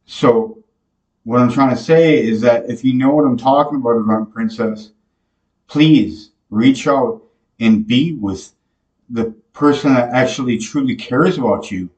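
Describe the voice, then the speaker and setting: A young man hosting a podcast, talking to the camera in a slow, rambling devotional monologue full of fillers and restarts, recorded in a small bare room. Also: mid-range voice, darkish tone, muffled recording. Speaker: podcast host. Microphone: camera mic from a few feet away. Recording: echoey room, voice a bit distant from the mic.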